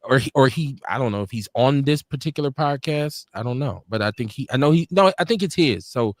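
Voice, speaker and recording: A man's voice: mid-range voice, lively intonation, fairly quick, dry recording, clean studio sound. A man co-hosting a casual live podcast, rambling through stories with frequent self-corrections and laughs. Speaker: podcast co-host. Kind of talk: casual chat. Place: home studio, livestream.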